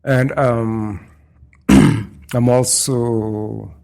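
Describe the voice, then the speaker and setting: A man's voice: mid-range voice, fairly even intonation, vocal fry, mellow. A man from Kenya, a guest photographer talking thoughtfully and unhurriedly in an interview. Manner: thoughtful, unhurried, repeats phrases. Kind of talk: casual interview talk. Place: indoors at a culture centre.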